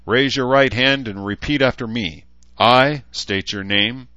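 A man's voice: medium pitch, unhurried, sharp tone, dry recording, highs cut off, voice pushed up. A man voicing a solemn, commanding mayor and military leader in an audiobook, projecting ceremonial orders.

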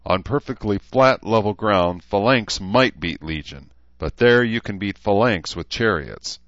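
A man voicing a thoughtful, principled leader who speaks in a measured, earnest way. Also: on the low side, a bit bright, no echo, lo-fi sound.

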